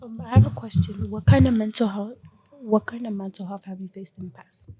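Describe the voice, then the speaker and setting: A woman's voice: medium pitch, fairly even intonation, a little fast, mellow, husky. A young woman interviewing a guest on a youth podcast in a casual, conversational way.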